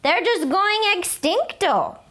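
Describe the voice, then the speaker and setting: A woman voicing an excitable young male kitten who thinks he is a chihuahua, with a squeaky, dramatic, wailing delivery. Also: slow, exaggerated voice. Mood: excited. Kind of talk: storybook read-aloud.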